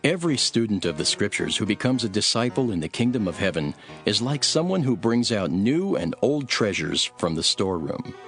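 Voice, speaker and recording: A man playing a calm, authoritative teacher telling parables in a measured, solemn dramatized scripture reading. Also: lowish voice, soft background music. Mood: calm teaching.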